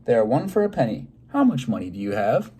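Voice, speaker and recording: A friendly, inquisitive candy shopkeeper from a storybook, played by a man. He chats briskly with lively pitch. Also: slightly rough.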